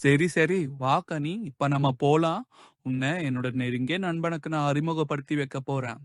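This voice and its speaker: A man's voice: on the high side, steady tone, normal speed, dry recording, clean studio sound, natural voice. A man voicing a friendly, chatty young male cat in a children's cartoon, chummy and familiar.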